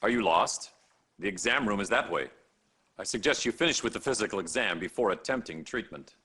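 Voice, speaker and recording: An actor playing a stern, condescending senior doctor in a video game cutscene, admonishing the player with dry authority. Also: male voice, fairly low pitch, expressive, fairly quick, pushed and bright, slightly rough, exaggerated voice. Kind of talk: acted game cutscene dialogue addressed to the player.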